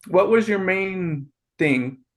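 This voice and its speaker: A man in his fifties co-hosting a podcast, joking about himself while reminiscing about high school. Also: lively intonation, takes it slowly. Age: 50s. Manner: self-deprecating, joking, wry. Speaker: podcast co-host. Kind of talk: casual reminiscing chat.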